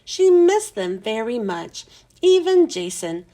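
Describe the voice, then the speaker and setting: High-pitched, animated woman reading a storybook. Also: slow pace. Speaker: children's read-aloud host. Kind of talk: expressive storybook narration.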